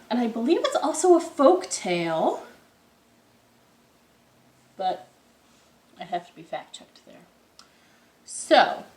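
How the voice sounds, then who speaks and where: A woman hosting a storytime for young children, reading aloud expressively with drawn-out phrases and questions to her listeners, recorded indoors at home. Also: mid-range voice, fairly bright tone, slight background noise. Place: indoors, in a living room. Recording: roomy, echoey home room sound.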